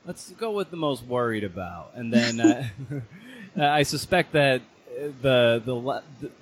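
A man's voice: mid-range voice, expressive, unhurried, a little hiss or hum. A man hosting a casual podcast interview, feeling his way into questions and rephrasing them as he goes.

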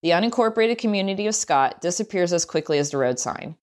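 A woman's voice: on the low side, lively intonation, fast talker. A woman reading a travel story aloud as scripted narration.

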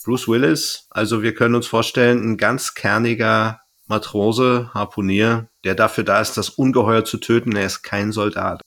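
A man's voice: on the low side, steady tone, normal speed, hardly any pauses, fairly bright tone. A man co-hosting a podcast, chatting casually in a colloquial, joking manner. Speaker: podcast co-host. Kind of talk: casual chat.

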